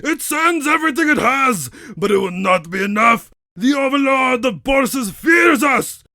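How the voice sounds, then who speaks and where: A man voicing a defiant space marine captain in a science fiction audiobook, declaiming a shouted battle cry to rally his troops. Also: very high-pitched, animated, moderate pace, dry recording, clean studio sound, voice pushed up, heavily acted. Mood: defiant, exultant.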